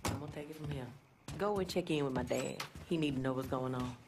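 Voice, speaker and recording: Game heroine: young Southern fighter, deep for a woman, blunt and tough, noisy ambience. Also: quick pace. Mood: subdued. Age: young adult. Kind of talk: dramatic video game acting. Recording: noisy ambience and car sounds.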